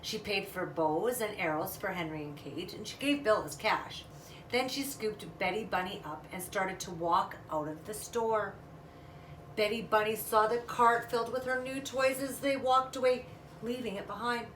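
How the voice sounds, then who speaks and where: A woman's voice: medium pitch, moderate pace, fairly bright tone. Woman reading a storybook in an echoey room. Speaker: storyteller reading a children's book. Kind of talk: expressive read-aloud narration with casual asides. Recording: roomy, distant mic, faint noise.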